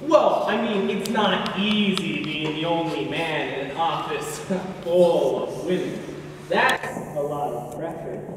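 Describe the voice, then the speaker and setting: A man's voice: fairly high pitch, takes it slowly. Young actor playing exasperated man in an echoey hall. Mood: defensive. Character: exasperated, defensive man bickering with women. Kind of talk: amateur comic stage play acting. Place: school theatre stage, recorded from the audience. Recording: noisy, very echoey hall from far off.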